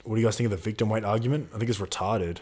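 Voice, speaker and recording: A man's voice: fairly low pitch, steady tone, very fast, a little mellow. A man in his twenties co-hosting a live Q&A stream, chatting casually and reading out viewer questions. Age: 20s. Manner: casual slangy, swears, reads out chat questions.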